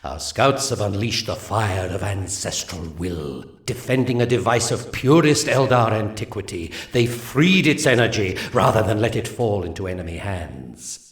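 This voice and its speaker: A man's voice: lowish voice, lively intonation, normal speed, close to an everyday voice. A man voicing a grave, prophetic alien seer. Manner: grave, ominous, archaic and formal. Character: solemn Eldar seer commander, male, grave and prophetic. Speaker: mission briefing narrator. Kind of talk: 1999 video game mission briefing. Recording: echoey, distant game cutscene audio.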